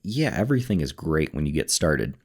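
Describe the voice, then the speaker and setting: A man's voice: extremely low pitch, very animated, a little fast, a little mellow, husky. A man chatting as a guest on a podcast, opinionated and easygoing, laughing readily. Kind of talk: casual chat.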